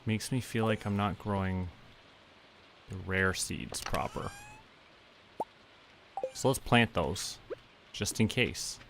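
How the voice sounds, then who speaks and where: A man's voice: on the low side, takes it slowly, pauses often, background noise. A man chatting casually to himself as he plays a game on stream. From Canada. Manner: talks to himself while playing. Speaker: streamer playing a farming game.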